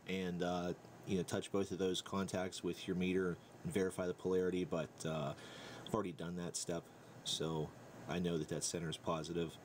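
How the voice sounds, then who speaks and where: Low-voiced man thinking aloud. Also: level tone. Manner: unhurried, thinking aloud while working. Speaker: DIY electronics demonstrator. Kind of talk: casual hands-on tutorial narration. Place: indoor workbench. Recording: clear background noise and room ambience.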